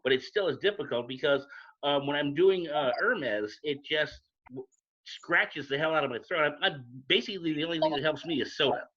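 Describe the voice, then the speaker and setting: A man's voice: a little high, a little fast, fairly bright tone. A man, a voice actor, chatting on a panel in an articulate, explanatory manner. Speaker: volunteer audio drama voice actor. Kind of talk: casual panel chat. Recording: muffled lo-fi livestream call.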